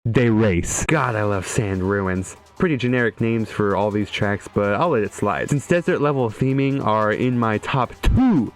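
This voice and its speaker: A man's voice: fairly low pitch, normal speed, few pauses, soft background music. A young man giving joking, irreverent video game commentary in a sarcastic, sweary way.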